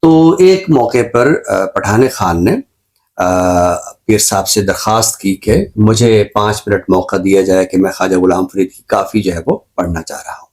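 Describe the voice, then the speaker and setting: Man, Pakistani accent, low pitch, measured explanatory delivery, scholarly book-review talk. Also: darkish tone. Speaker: literary show presenter reviewing a book.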